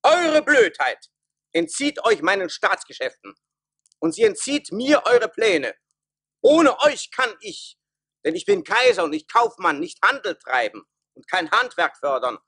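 An actor playing a pompous, commanding emperor in a satirical German radio play, declaiming grandly. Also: male voice, extremely high pitch, huge pitch swings, unhurried, vocal fry, pushed and bright, slightly rough, exaggerated voice. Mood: scornful, bitter. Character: pompous emperor and officials of a satirical folk tale.

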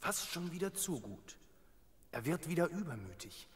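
An actor playing a cautious, worried royal servant in a Bible audio drama, earnest and confiding. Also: male voice, fairly high pitch, very animated, normal speed. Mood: relieved, wary.

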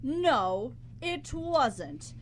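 A woman's voice: high-pitched, very animated, extremely slow, slight background noise, exaggerated voice. A young woman playing a bossy, smug writer in a live student radio comedy, with a theatrical, pompous delivery. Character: bossy, smug, scheming writer turning desperate. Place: college radio studio, live broadcast.

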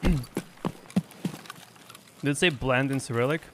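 A man's voice: medium pitch, slow pace, noisy background. A young man whose first language is Russian, chatting casually over a headset while playing, swearing and repeating himself. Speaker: streamer. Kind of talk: casual gameplay commentary.

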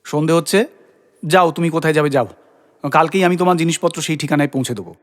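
A man's voice: on the high side, fast talker, close to an everyday voice. An actor playing an exasperated, incredulous husband in a comic audio drama, sputtering and repeating his words in indignant questions. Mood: angry. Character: exasperated, incredulous husband, grumbling but soft-hearted.

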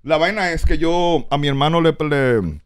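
A middle-aged Dominican man talking bluntly and crudely as a guest on a studio talk show, recorded on a desk boom mic. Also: moderate pace, a loud crowd. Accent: Dominican Spanish. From Dominican Republic, raised in the United States. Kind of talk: casual talk-show banter. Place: TV-style podcast studio with neon set.

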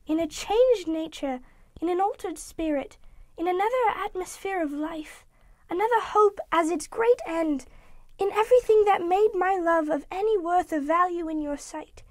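A man reading an audiobook voices a gentle, sorrowful young woman who is releasing her betrothed, speaking softly and with resignation. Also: very high voice, no room sound, voice pushed up. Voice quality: soft, gentle. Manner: quiet, measured, resigned pleading.

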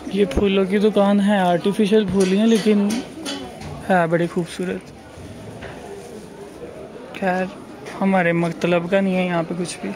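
A man's voice: high voice, level tone, unhurried, pauses often, over music, low-quality recording. A man giving casual, chatty commentary while walking through an outdoor market, musing aloud as he goes. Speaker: travel vlogger. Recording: busy crowd noise behind.

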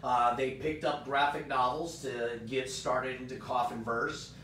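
A hoarse man hosts a live stream in upbeat, rambling chat full of fillers. His voice sits off the mic in a roomy space. A faint rumble runs underneath. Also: level tone, unhurried, a bit bright, recorded from a distance. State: hoarse, voice partly gone. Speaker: host of a live comic shop stream.

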